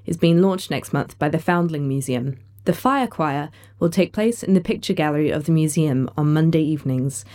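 A woman's voice: low-pitched, mellow. A woman presenting a scripted events listing on community radio.

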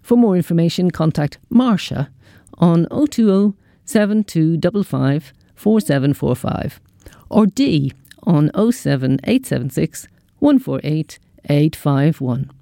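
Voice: mid-range voice, animated, unhurried, gravelly, mellow, dry recording, studio quality. A community radio presenter reading a scripted events listing.

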